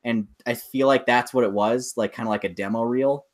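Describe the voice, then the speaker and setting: A man's voice: medium pitch, steady tone, brisk. A man co-hosting a podcast, chatting casually and explaining things in a rambling, enthusiastic way. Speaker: podcast co-host. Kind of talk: casual chat about films and games.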